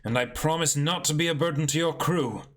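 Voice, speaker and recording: A man's voice: fairly high pitch, a little fast, fairly bright tone, lightly acted. A man voicing a courteous, earnest skeleton pirate swordsman in a comic reading, speaking formally.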